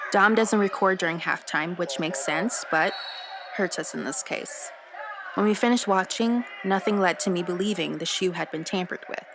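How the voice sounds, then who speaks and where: A woman's voice: mid-range voice, background noise, natural voice. A young woman acting a loyal, skeptical teenage would-be detective in an audio drama.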